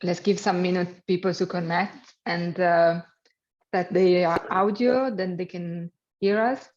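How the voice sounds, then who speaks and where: A woman with an Italian accent, a native Italian speaker, hosting an online webinar in halting speech with many fillers and light jokes. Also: on the low side, fairly even intonation, a little mellow, a little hiss or hum. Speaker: webinar host and program manager. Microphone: video call. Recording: lo-fi video call audio.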